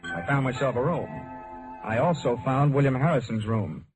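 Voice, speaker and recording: A man's voice: mid-range voice, normal speed, close to an everyday voice. An American man acting a wry, hard-boiled insurance investigator, narrating drily in an old-time radio detective drama. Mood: dry, world-weary. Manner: wisecracking, dry first-person narration. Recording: vintage radio recording, music behind.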